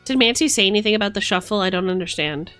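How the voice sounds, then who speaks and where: A woman's voice: mid-range voice, animated, fast talker. Playful woman chatting over background music. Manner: playful, affectionate, thinking aloud. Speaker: livestreamer. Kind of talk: casual livestream chat while reading tarot cards.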